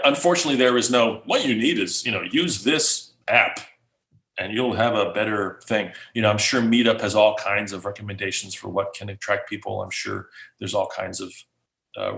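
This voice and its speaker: A man, a guest speaker, answering questions casually in an animated way, restarting often. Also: on the low side, gravelly. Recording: roomy echo.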